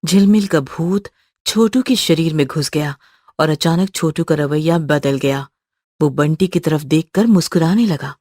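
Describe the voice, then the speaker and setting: A woman narrates a scripted horror tale in a husky, slightly low voice. Her storytelling is dramatic and suspenseful, recorded very dry and close. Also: a little slow, mellow, no room sound.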